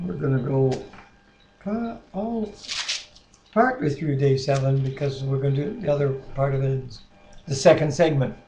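A man's voice: medium pitch, moderate pace. A man in his sixties co-hosting a Bible reading with casual devotional talk, prayer and scripture, recorded on a webcam in a living room. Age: 60s. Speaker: co-host of a Bible reading series. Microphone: webcam microphone at arm's length. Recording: roomy webcam audio with faint noise.

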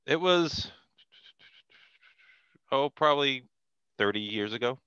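A middle-aged man chatting as an interview guest, halting and repeating phrases as he explains, on a headset in a video call from home. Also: medium pitch, expressive, sharp tone, smooth, no echo, highs cut off. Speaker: podcast guest, comic artist. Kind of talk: casual conversational interview. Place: small cluttered room at home, video call.

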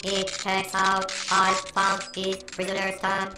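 A calm robot comms officer, played by a woman, speaks slowly in a very flat, measured tone. The voice echoes, with music clearly behind it. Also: mid-range voice, natural voice. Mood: businesslike. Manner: measured, matter-of-fact. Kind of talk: amateur comic dub acting.

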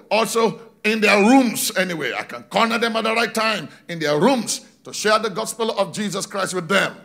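A middle-aged man preaching on a large church stage into a handheld microphone, animated, slowing down for emphasis and shouting key points. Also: sits high, creaky, husky. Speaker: pastor. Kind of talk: sermon. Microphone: handheld mic.

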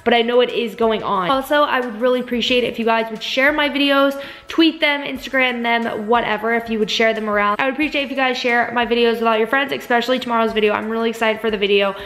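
A young woman in her twenties vlogging casually to her camera at home, a little hoarse from a cold, chatty with lots of "like" and "you guys". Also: on the high side, fairly even intonation, fast, hardly any pauses, fairly bright tone. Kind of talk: casual vlog chat to camera. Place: living room at home, also in a car. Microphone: camera at arm's length.

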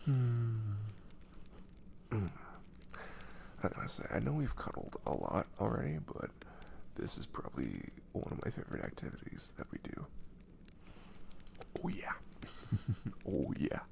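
A man playing an affectionate, dorky young boyfriend in an ASMR roleplay, speaking softly and breathily, often near a whisper, in a halting, tender way. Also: on the low side, wildly swooping pitch, gravelly, exaggerated voice. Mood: playful, affectionate.

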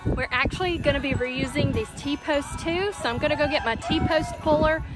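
A woman's voice: sits high, normal speed, loud music behind the voice, rough recording. A woman, chatting upbeat to a handheld phone as she works around her farm and barn. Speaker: homestead vlogger. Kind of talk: casual vlog talking to camera. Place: outdoors on a farm and inside a barn. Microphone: handheld phone at arm's length.

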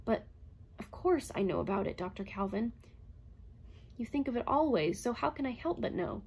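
Earnest gentle male robot read by a woman; soft, reassuring, slightly husky, raised pitch. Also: expressive, normal speed, heavily acted. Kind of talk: audiobook character reading.

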